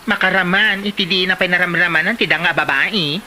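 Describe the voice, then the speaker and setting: A woman's voice: on the low side, brisk, sharp tone, a little hiss or hum. A woman playing a sympathetic, exclamatory village storekeeper in a comedy drama, with an Ilocano accent. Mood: sympathetic, exclaiming. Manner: exclamatory, reactive.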